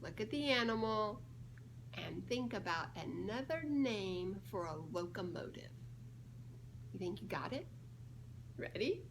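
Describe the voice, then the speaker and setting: A woman reading a picture book aloud to young children in a playful, expressive way, pausing to ask guessing questions. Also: mid-range voice, vocal fry, slight background noise, poor audio. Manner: playful, expressive, drawn-out delivery of key words with teasing pauses. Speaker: children's storybook reader and church children's ministries director.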